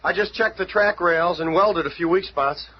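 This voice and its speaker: Young flame hero, male actor: high voice, breezy, boastful radio drama delivery, muffled recording. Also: moderate pace, slight background noise, natural voice. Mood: confident. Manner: breezy, showing off. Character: cocky, playful young flame-powered superhero. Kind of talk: 1970s radio drama acting.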